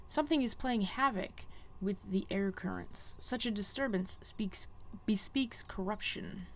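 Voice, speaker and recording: A woman's voice: mid-range voice, close to an everyday voice. Woman voicing a solemn, formal quest-giving sage. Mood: grave, instructing. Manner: formal, measured, archaic phrasing. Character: solemn, wise conjurer guildmaster giving a quest. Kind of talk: reading game quest dialogue aloud.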